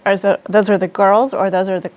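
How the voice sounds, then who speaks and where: A woman with a mid-pitched, even voice and a Midwestern accent. She drops short, dry, deadpan quips into a casual comedic chat. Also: a little fast. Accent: Midwestern American. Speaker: co-host, sister of host.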